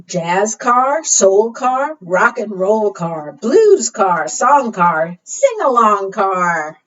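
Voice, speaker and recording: A woman's voice: medium pitch, very animated, drawn-out words, a bit bright, slight background noise. A woman leading a preschool storytime, reading rhymes aloud and putting questions to small children in a sing-song way. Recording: muffled, distant microphone.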